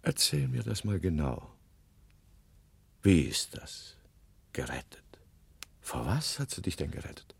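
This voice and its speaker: A very deep, heavily husky middle-aged man in a radio play. He speaks in a tender, folksy, wistful way, as an older married man still in love. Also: sing-song, unhurried, long pauses, heavily acted. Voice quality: gravelly, husky. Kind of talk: German radio drama acting.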